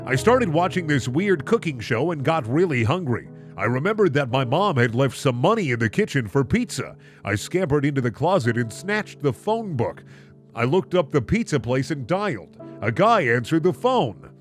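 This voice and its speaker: Guy reading fan fiction and reacting, super animated and sarcastic, music going behind him. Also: mid-range voice, normal speed. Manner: mock-dramatic, sarcastic reactions. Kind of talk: comedic dramatic reading with casual commentary.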